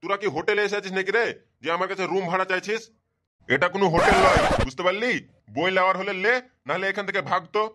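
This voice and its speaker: A man's voice: high voice, fast, very noisy, natural voice. A man voicing a grumpy, bald old bookshop keeper in a comedy cartoon, scolding and sarcastic. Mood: angry, sarcastic. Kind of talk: comic cartoon dubbing.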